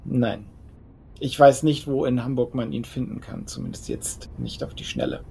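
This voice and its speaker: A thoughtful, learned male vampire, acted by a man in an audio play. He has a fry-laden voice and picks his formal words haltingly. Background noise and ambience sit behind him. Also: mid-range voice, huge pitch swings, warm tone, exaggerated voice. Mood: cautious. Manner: hesitant, halting, formal wording. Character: thoughtful, scholarly male vampire sharing half-remembered knowledge. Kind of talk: audio drama role-play dialogue.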